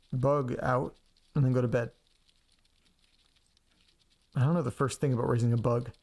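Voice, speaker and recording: A man's voice: mid-range voice, vocal fry, darkish tone. A man acting as an anxious, chatty young man in an audio drama, rambling and hesitant in a casual, naturalistic way. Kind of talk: naturalistic first-person audio drama, talking to himself.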